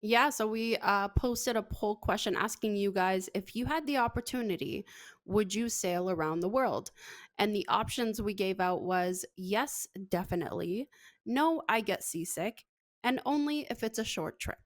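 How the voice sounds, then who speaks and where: A woman's voice: mid-range voice, normal speed, dry recording. A woman casually presenting a social media segment on a talk show, speaking informally to co-hosts and audience.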